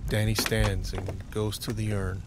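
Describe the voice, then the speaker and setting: A man's voice: fairly low pitch, little pitch movement, unhurried, very noisy. A man narrating an audio drama from a script, describing each scene in the present tense.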